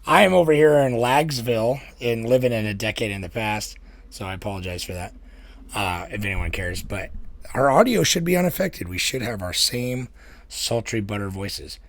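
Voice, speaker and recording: A man with a raspy voice co-hosting a podcast in casual, laid-back chat, swearing and laughing easily. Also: on the low side, normal speed. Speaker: podcast co-host.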